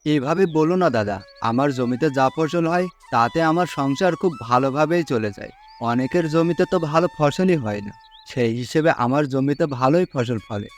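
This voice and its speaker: A man's voice: a little high, lively intonation, normal speed, close to an everyday voice. A man playing a poor, trusting farmer in a cartoon folk tale, speaking earnestly and deferentially. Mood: humble, earnest. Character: poor, gullible, humble male farmer, trusting and hesitant. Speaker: cartoon voice actor. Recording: music under the voice.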